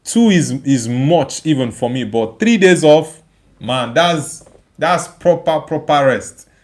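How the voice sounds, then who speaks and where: Male livestream host, fairly high-pitched, chatty and emphatic, reads viewer messages and replies off the cuff with repeated phrases. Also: lively intonation, takes it slowly. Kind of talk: casual live chat, reading viewer comments aloud and replying.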